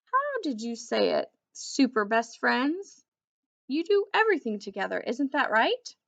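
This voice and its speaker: A woman's voice: on the high side, sing-song, unhurried, creaky, a bit bright, muffled recording, exaggerated voice. A woman reading a children's storybook, voicing an irritated, cynical female golden retriever in a scornful, huffy manner. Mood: sarcastic. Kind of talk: children's storybook read-aloud.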